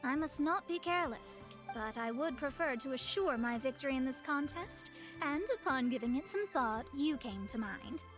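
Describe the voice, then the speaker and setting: Haughty young female astrologer, high voice, formal lofty delivery, game character, faint music behind. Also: lively intonation, normal speed, few pauses. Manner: formal, self-assured, slightly lofty. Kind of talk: dubbed game dialogue.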